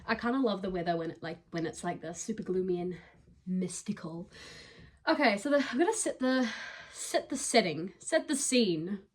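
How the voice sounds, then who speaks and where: A woman's voice: medium pitch, lively intonation, normal speed. A young woman with a New Zealand accent telling a story in a casual, chatty way, using informal slang as a vlogger. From New Zealand, from Dunedin.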